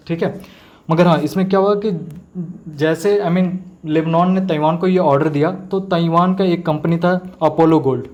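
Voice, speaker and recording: A man's voice: fairly high pitch, a little mellow. A man giving an informal explanatory lecture as a teacher, often adding check-in tags and mixing in English terms. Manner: frequent check-in tag phrases, mixes in English terms. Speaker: exam-prep teacher.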